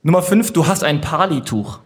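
A man's voice: a little high, lively intonation. A man hosting a casual interview segment in front of a live audience, joking and polling the crowd as he reads out his prompts. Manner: playful, quick, polls the audience. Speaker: host.

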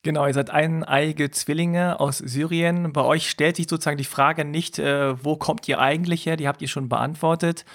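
A man hosting a podcast group chat in a playful, lively, joking way. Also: a little high, normal speed, hardly any pauses. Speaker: podcast host.

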